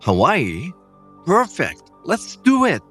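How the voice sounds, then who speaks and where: Man playing brazen husband, wildly swinging pitch. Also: huge pitch swings, takes it slowly, fairly bright tone, faint music behind, full character voice. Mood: smitten, excited. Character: brazen, callous cheating husband. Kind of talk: dubbed manga drama acting.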